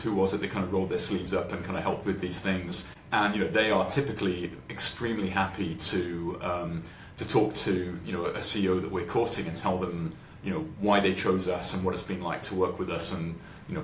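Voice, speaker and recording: Man, low pitch, echoey room, informal explanatory talk, colloquial, interview guest. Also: fairly bright tone. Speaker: venture capital investor, podcast guest. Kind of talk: conversational interview answers. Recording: roomy echo with clear background noise.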